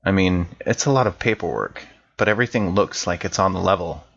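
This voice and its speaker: Man as deep-voiced, chatty, easygoing dude. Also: a little fast, creaky, fairly bright tone, dull audio, full character voice. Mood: chatty excitement. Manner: casual, slangy, chatty. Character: excitable laid-back dude sharing personal news.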